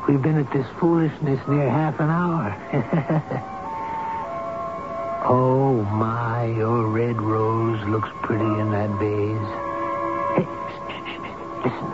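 An actor playing a gentle but defiant old elevator operator with folksy speech in a radio drama. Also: male voice, medium pitch, fairly even intonation, slow pace, pauses often, over loud music, lightly acted. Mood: gleeful, sly. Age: older. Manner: folksy, talks tenderly to his elevator. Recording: noisy, phone-like old radio broadcast.

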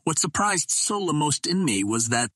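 Male narrator, scripted literary reading, measured formal delivery, husky tone, dry studio-like sound. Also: on the high side, sing-song, a bit bright, no room sound. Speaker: audiobook narrator.

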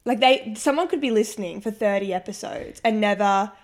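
A woman, co-hosting a casual chat podcast, loud and self-mocking, with a deeper voice than her co-host. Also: fairly quick.

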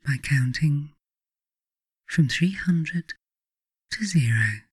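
A woman narrates in a reflective, scripted style suited to an audiobook or guided meditation. Her phrasing is measured and deliberate, broken by frequent pauses. The recording is dry, with little room sound around the voice. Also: low-pitched, slightly rough, no room sound. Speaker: author reading own book, guided meditation narrator.

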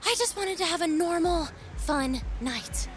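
An actress playing a fierce, exasperated young woman in a screen drama, speaking bluntly and forcefully. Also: extremely high pitch, expressive, measured pace. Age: young adult. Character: fierce, exasperated young woman protecting her reckless friends. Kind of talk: naturalistic screen drama acting. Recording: background music under dialogue.

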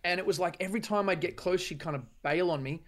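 A young man, a guest musician, chatting casually in a radio interview with quick restarts. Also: high voice, fairly bright tone. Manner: fast, easygoing, restarts.

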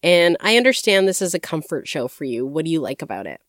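A woman's voice: deep voice, very animated, fast, no echo, clean studio sound. A woman hosting a podcast, presenting in a scripted radio style. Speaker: podcast host.

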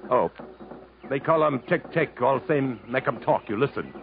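An actor playing a resolute, commanding adventurer hero in a 1950s radio drama, speaking earnestly and in a clipped way. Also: male voice, mid-range voice, lively intonation, normal speed, vocal fry, a little hiss or hum. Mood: firm bargaining. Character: resolute adventurer hero fleeing through jungle, also narrating. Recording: muffled old radio broadcast with music.

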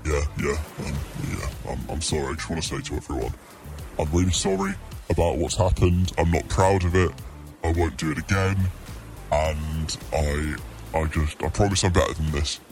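A man's voice: a bass voice, steady tone, normal speed, over music. A man voicing a humiliated, sensitive stranded cargo ship, speaking hesitantly and earnestly in a mock radio interview. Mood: apologetic.

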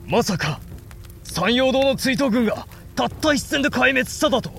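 An actor playing a brooding, resolute samurai lord and elder brother in a Japanese period audio drama, speaking gravely and with deliberate command. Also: male voice, very high-pitched, lively intonation, fairly bright tone, soft background music, full character voice. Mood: shocked.

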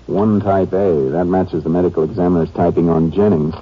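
An American actor playing a shrewd, laconic Texas Ranger in a 1950s radio drama, terse and matter-of-fact. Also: male voice, deep voice, fairly even intonation, brisk, breathy edge. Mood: businesslike. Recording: muffled old radio recording, faint music.

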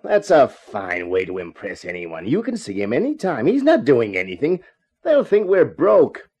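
An actor playing a wry, hard-boiled American private eye in a 1950s radio detective drama, deadpan and wisecracking. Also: male voice, mid-range voice, normal speed, slightly rough, full character voice. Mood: exasperated.

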